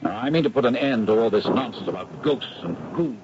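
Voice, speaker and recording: An actor playing a flippant young aristocratic skeptic with a breezy, mocking manner in a 1970s radio mystery. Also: male voice, lowish voice, animated, a little fast, close to an everyday voice. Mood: flippant, jaunty. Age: young adult. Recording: muffled, noisy 1970s radio recording.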